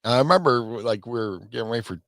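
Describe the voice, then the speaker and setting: A man's voice: medium pitch, expressive, rapid-fire, a bit bright, no room sound, studio quality. A man, co-hosting a casual, profane chat and rambling with restarts. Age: 50s. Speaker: co-host.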